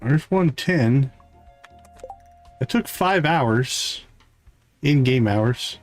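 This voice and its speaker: A man's voice: medium pitch, expressive, very slow, soft background music. A man hosting a livestream with casual, playful gameplay commentary, half talking to himself. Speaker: livestream host.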